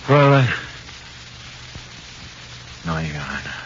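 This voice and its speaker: A man's voice: extremely low pitch, big pitch swings, slow, a bit bright, full character voice. An actor playing a haunted, nervy small-time crook who talks in plain, ungrammatical tough-guy slang, in 1940s radio drama. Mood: subdued. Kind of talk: 1940s radio drama acting, first-person narration. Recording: muffled, noisy 1940s radio recording.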